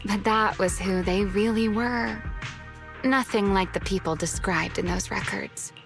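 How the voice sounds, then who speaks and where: An actress playing a serene, teasing legendary huntress in a video game, speaking politely and playfully over game music. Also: female voice, mid-range voice, a little slow, gravelly. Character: serene, teasing, century-old legendary woman hunter. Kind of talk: video game story dialogue.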